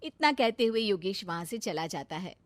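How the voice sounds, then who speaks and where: A woman narrating a scripted animated village story. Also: medium pitch, moderate pace, dry recording.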